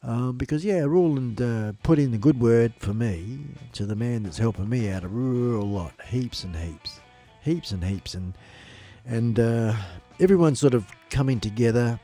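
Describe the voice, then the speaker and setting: A man's voice: medium pitch, lively intonation, a little slow, warm tone. A man with a blocked nose, hosting a casual live stream in an upbeat, loose, repetitive way. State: blocked nose, a bit nasal. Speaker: livestream host. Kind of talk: rambling casual live chat. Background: faint music in the background.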